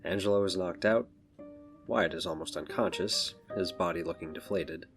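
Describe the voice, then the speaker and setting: A man with a deep voice narrates a scripted audio drama. Music runs clearly behind his reading. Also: moderate pace. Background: background music.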